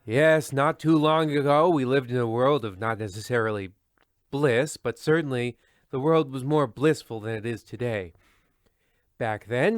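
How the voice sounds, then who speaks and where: A man's voice: mid-range voice, dry recording, studio quality, close to an everyday voice. A man playing a pompous, fussy radio horror host, delivering a theatrical, mock-grave monologue in an old-time style.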